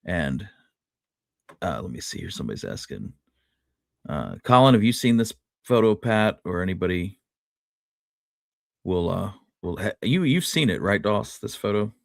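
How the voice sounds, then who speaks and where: Male co-host, deep voice, conversational history talk aimed at viewers, dry close sound. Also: big pitch swings, normal speed, creaky, long pauses, dry recording. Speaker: podcast co-host. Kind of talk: casual history discussion with live viewers.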